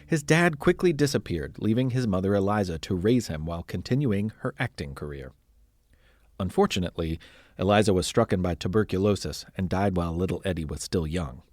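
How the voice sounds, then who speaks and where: Male kids' history podcast narrator: lowish pitch, highly varied intonation, conversational yet playfully dramatic. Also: expressive, fairly quick, darkish tone, no room sound, clean studio sound.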